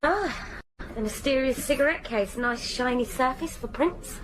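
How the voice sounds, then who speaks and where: A woman's voice: high voice, normal speed, fairly bright tone. Dry, shrewd female detective, noisy background. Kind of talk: naturalistic radio drama dialogue.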